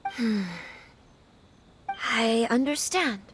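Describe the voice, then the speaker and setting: A woman's voice: on the high side, extremely slow, a little hiss or hum. A woman playing an anxious, courteous young noblewoman in a video game, speaking in an earnest, hesitant, formal way. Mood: subdued, troubled.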